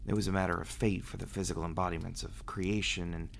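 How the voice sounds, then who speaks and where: A low-voiced, gravelly vampire acted by a man speaks in an intimate roleplay drama style. He is brooding and earnest, confiding in halting phrases with dramatic pauses. There is faint noise behind the voice. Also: little pitch movement. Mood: somber, explaining. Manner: halting, hesitant delivery with dramatic pauses and sighs. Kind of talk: ASMR roleplay audio drama acting.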